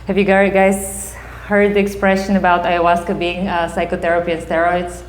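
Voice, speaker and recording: A woman in her forties with a Bulgarian accent, a psychotherapist giving a casual public talk, animated and laughing often. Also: lowish voice. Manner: animated, laughs often, quick bursts of excitement.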